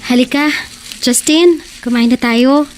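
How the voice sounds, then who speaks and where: A woman's voice: high-pitched, unhurried, soft background music. A woman playing a poor, devoted young wife in a radio drama, anxious yet encouraging, with an emotional, coaxing delivery. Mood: coaxing, insistent. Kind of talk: Tagalog radio drama acting.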